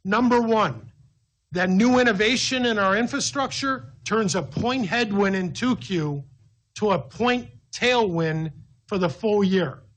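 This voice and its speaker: A man's voice: high voice, a little slow, a bit bright, rough recording. A man speaking as a finance executive on an earnings call, laying out numbered points in an emphatic, methodical way. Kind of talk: business briefing, answering an analyst with numbered points.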